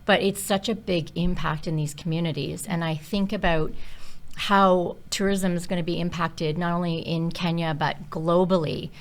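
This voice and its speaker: A woman's voice: fairly low pitch, steady tone, moderate pace. A middle-aged woman, a hospitality instructor, chatting casually on a podcast with many fillers and small laughs.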